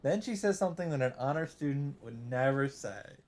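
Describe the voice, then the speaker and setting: Chatty let's play host. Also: male voice, mid-range voice, animated, brisk, a little mellow. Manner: chatty, thinks aloud with self-corrections. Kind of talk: casual commentary and reading game narration aloud.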